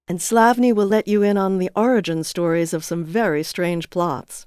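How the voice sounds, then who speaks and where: A woman's voice: on the low side, steady tone, normal speed, a little mellow, clear, resonant tone, dry recording, clean studio sound. A woman reading a scripted introduction as the host of a podcast series.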